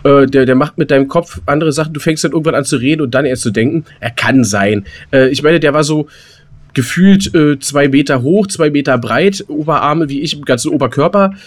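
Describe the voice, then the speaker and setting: A man's voice: fairly high pitch, fairly quick. A man co-hosting a podcast, telling anecdotes in a rambling, colloquial, casual way. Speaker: podcast co-host.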